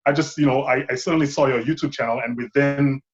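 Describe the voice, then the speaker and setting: A man's voice: medium pitch, steady tone, quick pace, dull audio. A man in his thirties from Saint Lucia, a filmmaker guest talking thoughtfully and rambling a bit. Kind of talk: casual interview chat.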